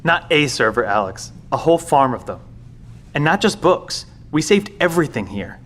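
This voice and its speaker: A man acting in an audio drama as a weary, secretive professor with a measured, explanatory way of talking. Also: fairly high pitch, big pitch swings, a bit bright. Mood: solemn. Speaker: professor, secret bunker guardian.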